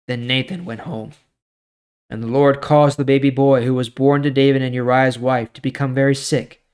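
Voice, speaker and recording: A man with a medium-pitched voice reads and explains scripture as a host. His delivery is plain-spoken and informal, suited to simple narration and commentary.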